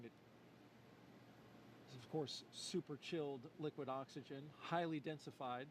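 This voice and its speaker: A man giving live commentary on a rocket launch, explaining things in a relaxed, friendly way. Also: on the high side, slight background noise.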